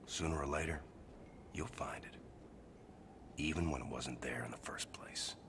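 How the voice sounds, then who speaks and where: A man playing a gruff, brooding storyteller in a video game cutscene, with a gravelly, breathy low rasp and an ominous delivery. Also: moderate pace, noisy background. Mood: grim, ominous. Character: gruff, brooding man haunted by a burned house.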